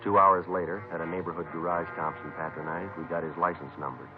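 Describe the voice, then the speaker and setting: Low, brisk, deadpan police sergeant played by an actor. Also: male voice, natural voice. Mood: matter-of-fact. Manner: terse, matter-of-fact, deadpan. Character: clipped, businesslike male police sergeant detective. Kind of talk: 1950s radio drama acting with terse narration. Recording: muffled old radio recording with music.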